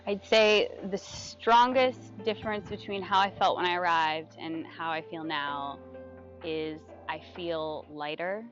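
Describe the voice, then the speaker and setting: A woman's voice: lowish voice, measured pace, muffled recording. Woman talking over music. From United States. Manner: chatty, with fillers and soft laughs. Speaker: retreat guest giving a testimonial. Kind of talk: casual testimonial interview. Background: background music.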